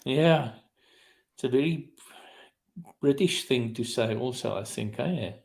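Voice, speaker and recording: A man hosting a podcast over a video call, talking slowly with many fillers and restarts. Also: mid-range voice, vocal fry, a little mellow. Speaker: podcast host. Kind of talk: casual interview chat.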